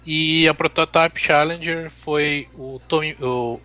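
A Brazilian man co-hosting a motorsport show, reading results haltingly and stumbling over names. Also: on the high side, fairly even intonation, pushed and bright. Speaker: race show co-host. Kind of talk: casual podcast chat.

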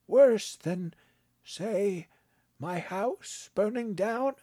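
A man narrating a story, voicing a calm, frail old grandfather who speaks slowly and gently. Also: sits high, dry recording, clean studio sound, pitched above the performer's own voice, exaggerated voice. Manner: slow, gentle, unbothered.

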